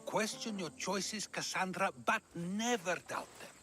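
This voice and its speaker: An actor playing a wise old philosopher in a video game, measured, reflective and declamatory. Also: male voice, high voice, sharp tone, faint music behind. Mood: solemn. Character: wise old male philosopher, calm and musing. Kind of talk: dramatic game cutscene dialogue.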